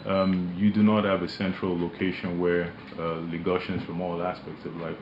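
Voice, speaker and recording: Company director guesting on radio, very deep imposing voice, slow measured delivery, roomy dull studio sound with noise behind. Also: male voice, little pitch movement. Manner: measured, hesitant with many fillers. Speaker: managing director interviewed as guest. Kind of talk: radio interview, relaxed business talk.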